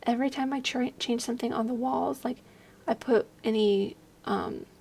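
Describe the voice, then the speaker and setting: Young woman, slightly hoarse, hushed home voice-over, chatty and rambling, a bit high, gravelly and breathy. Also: fairly even intonation, moderate pace. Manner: rambling, chatty, many fillers; hushed, keeping quiet at night. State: slightly hoarse, losing her voice. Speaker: video creator. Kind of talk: casual voice-over commentary on a game build. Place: home at night, at a desk.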